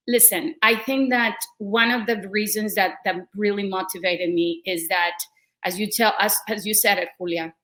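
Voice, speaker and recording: A woman's voice: mid-range voice, a bit bright. An effusive woman speaking informally as a panelist in a casual discussion. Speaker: panelist, nonprofit co-founder.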